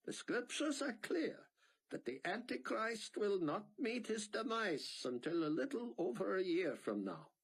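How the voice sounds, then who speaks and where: A man voicing a gentle, devout older scholar with an Israeli accent, speaking formally. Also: high-pitched, big pitch swings, unhurried, husky, pitched above the performer's own voice, heavily acted. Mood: earnest. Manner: formal, earnest speech.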